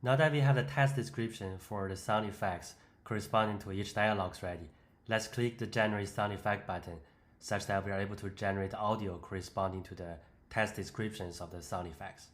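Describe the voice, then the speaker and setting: A man presents a software tutorial in a fairly low voice. He explains the screen demo step by step in an instructional way. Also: fairly even intonation, brisk.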